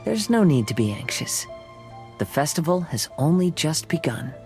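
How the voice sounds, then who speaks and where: A calm, wise young man in a game. He reassures the others gently, with a fairly lively pitch. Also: normal speed, soft background music. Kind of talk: game dialogue.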